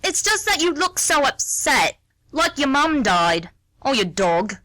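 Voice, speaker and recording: A woman's voice: high voice, sing-song, a little slow, sharp tone, no echo, full character voice. A woman playing a chatty, nosy, prickly middle-aged mother in an amateur radio play, rambling, cheeky and easily affronted. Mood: chatty, aggrieved.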